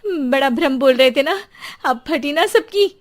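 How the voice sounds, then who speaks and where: A woman plays a young married woman in a cartoon horror tale. Her voice rides high and agitated, with a scolding, sarcastic edge and exclamations of alarm. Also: expressive, a little slow. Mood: indignant. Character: agitated, sharp-tongued young married woman, frightened of ghosts. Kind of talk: Hindi cartoon horror story acting.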